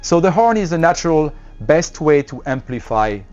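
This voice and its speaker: A man with a French accent, a company representative explaining his products in a trade show interview, with many fillers and pauses. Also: fairly high pitch, big pitch swings, darkish tone, dull audio, soft background music.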